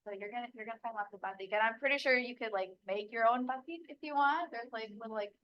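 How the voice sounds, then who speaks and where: A woman's voice: fairly high pitch, fast talker, a little hiss or hum. Chatty young woman, dull lo-fi sound. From Bali, Indonesia. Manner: chatty, many "like" fillers, laughs easily. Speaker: local guide at orientation. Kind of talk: casual advice chat.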